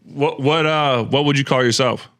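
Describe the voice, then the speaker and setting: A man in his twenties from Florida hosting a podcast, relaxed and curious, asking short questions. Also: mid-range voice, normal speed. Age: 20s. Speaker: podcast host. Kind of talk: casual podcast interview.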